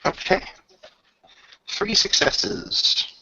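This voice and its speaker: A man working as game master, narrating a tabletop roleplay and talking rules casually in a halting way, pausing mid-sentence. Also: lo-fi sound, noisy background.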